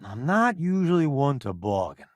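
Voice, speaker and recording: A man's voice: medium pitch, big pitch swings, normal speed, dry recording, lightly acted. An actor playing a brash, self-assured middle-aged food journalist turned crewman in a video game, with a wry, theatrical delivery. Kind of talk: video game companion voice lines.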